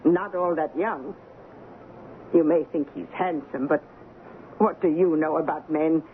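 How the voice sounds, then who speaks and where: A woman's voice: low-pitched, steady tone, measured pace. An actress playing a fearless, haughty woman in her eighties, blunt and lecturing, in an old radio drama with a tinny, noisy sound. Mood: haughty, challenging. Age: 80s. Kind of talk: 1970s radio drama acting.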